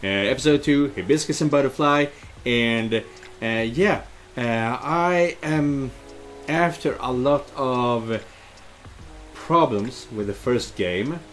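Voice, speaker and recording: A man in his thirties giving casual, halting let's play commentary and reading game text aloud. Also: mid-range voice. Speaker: game streamer. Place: indoor room at home. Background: game music and rain sounds.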